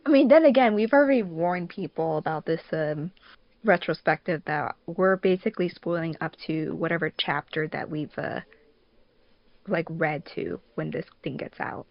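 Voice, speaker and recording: Low-pitched woman hosting, dull dry audio. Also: no echo. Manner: halting, thinks aloud with frequent "like". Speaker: podcast host and moderator. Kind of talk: casual podcast chat among a fandub crew.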